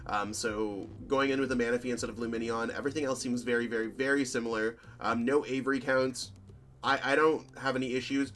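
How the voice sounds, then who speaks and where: Slightly hoarse man chatting casually. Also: medium pitch, fairly even intonation, brisk, a bit bright. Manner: chatty, rambling, lots of fillers and self-corrections. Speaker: channel host reviewing card game deck lists. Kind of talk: casual commentary over deck lists.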